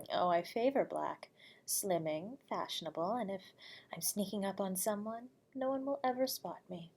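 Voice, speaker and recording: A woman playing an eerie, nocturnal horror radio host from beyond the grave, speaking to the listener in a soft, breathy, whispery voice, courteous and teasing, with frequent chuckles. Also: mid-range voice, a little slow. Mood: silky, teasing. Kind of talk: audio roleplay addressing the listener.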